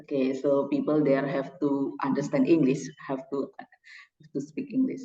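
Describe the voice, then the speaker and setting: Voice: medium pitch, flat delivery, moderate pace, dark tone, slight background noise. A lecturer teaching a class and interviewing a guest, reading from notes with frequent slips and self-corrections. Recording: distant, roomy, dull lo-fi sound.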